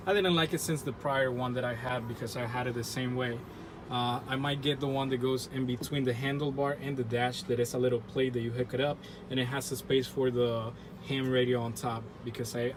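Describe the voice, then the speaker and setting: A man's voice: mid-range voice, noisy background. A man giving an informal, plain-spoken hands-on walkthrough in a casual vlog style. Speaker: video creator showing a radio install.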